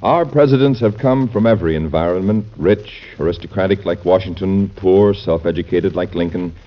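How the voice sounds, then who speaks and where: A man's voice: low-pitched, moderate pace. An American man hosting a vintage radio programme, delivering scripted narration as its announcer. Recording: muffled vintage radio recording.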